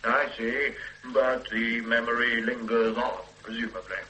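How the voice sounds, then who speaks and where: An actor playing a sarcastic, authoritative police superintendent in a radio drama. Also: male voice, mid-range voice, level tone, a little slow, bright, forceful tone, slight background noise, rough recording. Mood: sardonic. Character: stern sardonic male police superintendent. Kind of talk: 1970s radio drama acting.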